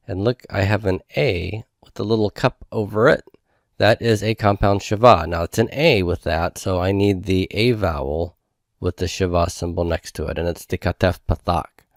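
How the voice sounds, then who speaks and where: A man's voice: sits low, normal speed, vocal fry, no room sound, clean studio sound. A man teaching a homework review lesson, explaining step by step in a patient manner.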